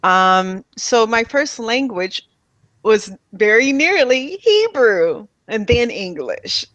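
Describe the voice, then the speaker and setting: A woman from Miami being interviewed about her childhood, speaking softly and thoughtfully with pauses and light laughs. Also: on the high side, big pitch swings, no room sound, lo-fi sound. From Miami, USA. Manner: soft-spoken, thoughtful, with pauses and fillers. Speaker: interviewee, spiritual healer. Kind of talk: reflective personal interview.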